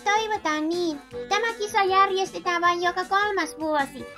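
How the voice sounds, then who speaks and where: Very high-pitched, bright young cartoon race reporter, earnest and excitable, played by a woman, faint music behind. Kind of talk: cartoon fandub with race commentary.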